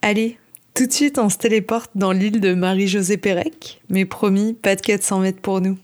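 A woman's voice: mid-range voice, fairly even intonation, normal speed, a little mellow. A woman co-hosting a podcast, presenting from a script with casual asides. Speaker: podcast co-host. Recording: music playing behind the voice. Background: music.

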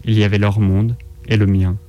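A man reads a literary story in the first person. His voice sits low and barely moves in pitch, keeping a flat, even line. Soft music plays beneath the narration. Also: brisk, muffled recording. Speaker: reader on a radio show.

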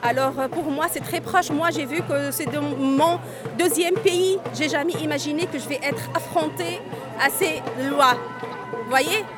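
High-voiced woman in her forties outdoors. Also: measured pace, music in the background. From Tunisia. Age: 40s. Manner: earnest, repeats words and restarts often. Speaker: undocumented migrant interviewee. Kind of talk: street interview at a march. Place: outdoors at a street march. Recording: crowd noise outdoors. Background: crowd.

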